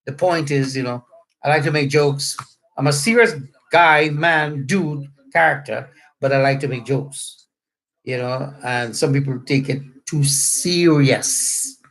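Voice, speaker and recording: A man's voice: mid-range voice, measured pace, a bit bright, poor audio. A middle-aged man from Trinidad with a Trinidadian accent, chatting casually to online viewers in a rambling, joking way. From Trinidad and Tobago. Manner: rambling, joking, spells out words to clarify. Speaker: pastor. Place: a room at home.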